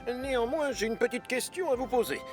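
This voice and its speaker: A man voicing a brisk, official railway inspector who questions things in an animated children's cartoon. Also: very high voice, over music. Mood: skeptical.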